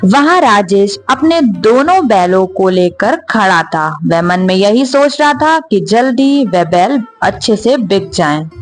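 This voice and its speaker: A woman's voice: mid-range voice, expressive, measured pace, hardly any pauses, faint music behind. A woman narrator reading a scripted story for children.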